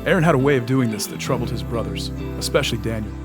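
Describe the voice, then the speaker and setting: A man reads scripted narration for an audio drama. His voice has an average male pitch and sits over prominent music. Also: quick pace.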